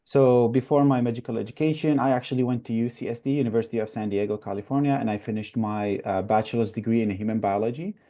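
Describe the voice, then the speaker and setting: Earnest young man from Iraq, mellow voice. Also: mid-range voice, steady tone, fast talker, few pauses. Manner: earnest, many "uh" and "like" fillers. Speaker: guest, newly matched resident. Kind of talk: interview answers.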